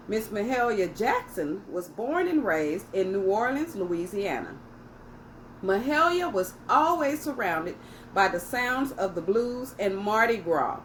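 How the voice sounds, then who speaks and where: A woman's voice: fairly high pitch, slight background noise, rough recording. A woman hosting a children's story time, reading aloud and speaking directly to young listeners in a teacherly way.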